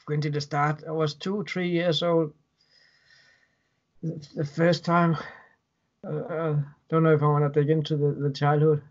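A man's voice: on the high side, flat delivery, a little slow, pauses often. A man in his thirties from Denmark with a Danish accent, speaking as a guest in a casual video-call interview and often repeating words as he recounts. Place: home room indoors. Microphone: webcam on video call. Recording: dull lo-fi video call audio.

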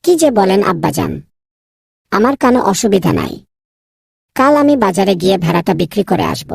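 A woman voicing a naive, proud young scholar son in a cartoon, easily exasperated, with a husky, creaky voice. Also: mid-range voice, lively intonation, fairly quick, no echo, clean studio sound. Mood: obliging. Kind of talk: cartoon dubbing.

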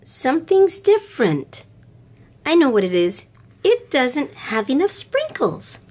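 A woman's voice: high-pitched, takes it slowly, pitched above the performer's own voice, exaggerated voice. A woman voicing an eager little girl from a picture book, reading her words expressively and carefully. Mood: excited. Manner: expressive, carefully enunciated read-aloud.

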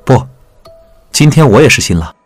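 An actor playing a calm, gently teasing young bridegroom in an audiobook drama. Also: male voice, medium pitch, normal speed, husky, faint music behind. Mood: calm, tender.

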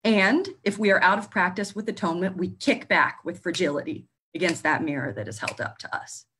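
A woman minister and author speaking earnestly in a panel discussion, pausing now and then to find her words. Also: fairly low pitch, pushed and bright, a little hiss or hum.

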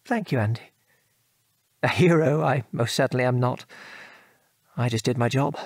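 A man's voice: medium pitch, moderate pace, slight background noise, lightly acted. An actor playing a modest, remorseful English father and former RAF bomb aimer in an audio drama, with a British accent and hesitant, genteel speech. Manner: hesitant, reflective, genteel old-fashioned turns of phrase. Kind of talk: audio drama acting, reminiscing monologue.

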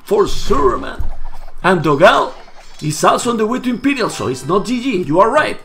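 A hoarse, Spanish-native man casting a live strategy game match, getting louder and repeating himself at big plays. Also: sits high, very animated, normal speed, soft background music. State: hoarse, losing his voice. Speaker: esports caster. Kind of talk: excited live game commentary.

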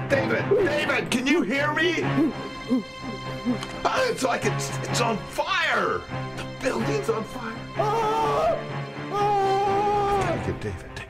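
A man playing an anxious, shaken character in a horror audio drama, with halting, strained delivery. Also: extremely high pitch, full character voice. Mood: panicked, desperate. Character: anxious, shaken man desperate to save his friend.

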